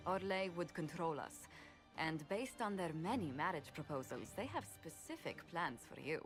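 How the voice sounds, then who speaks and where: Music plays prominently behind an actress playing a courtly female adviser in a video game. Her low voice is composed and precise, with careful, formal diplomacy. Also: expressive, moderate pace, natural voice. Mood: composed, cautioning. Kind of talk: video game cutscene acting. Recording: loud background music.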